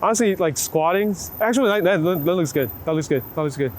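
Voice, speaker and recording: A man's voice: high-pitched, animated, brisk. A man working as a photographer outdoors in a city, chatting casually and encouragingly as he gives short, repeated posing instructions. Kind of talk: casual chat while directing portrait subjects. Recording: city street ambience and traffic noise.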